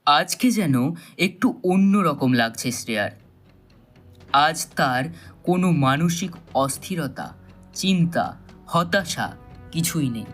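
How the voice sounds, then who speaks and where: A man narrating a thriller audio story in a dramatic, suspenseful style with measured pauses. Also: fairly high pitch, animated, a bit bright, faint music behind.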